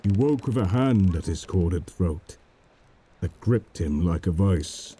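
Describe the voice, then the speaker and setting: A man narrating a dramatic poem in a solemn, measured manner. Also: sits low, expressive, warm tone, breathy edge. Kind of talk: dramatic poetry recitation.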